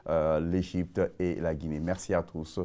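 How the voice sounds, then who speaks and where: A man hosting a formal broadcast interview, asking questions in a measured, formal way. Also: low-pitched, flat delivery. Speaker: TV interviewer, host.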